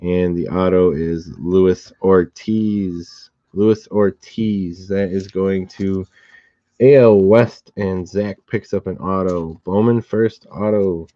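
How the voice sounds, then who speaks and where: Low, slow, slightly hoarse man. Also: dry recording, muffled recording. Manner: steady, unhurried listing of cards and recipients. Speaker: card break host. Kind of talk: relaxed live box-break commentary.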